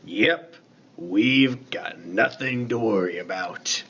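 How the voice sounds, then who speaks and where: A man playing a laconic, folksy lighthouse keeper in a radio play, talking in a plain, unhurried, colloquial way. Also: fairly low pitch, fairly bright tone, breathy edge, dull audio, heavily acted. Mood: calm, mysterious. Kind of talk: 1950s-set radio play dialogue.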